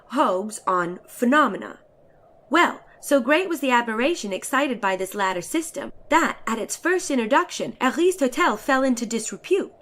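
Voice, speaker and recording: A woman's voice: on the high side, a bit bright. A woman reading a literary short story aloud in a theatrical, emphatic and formal manner.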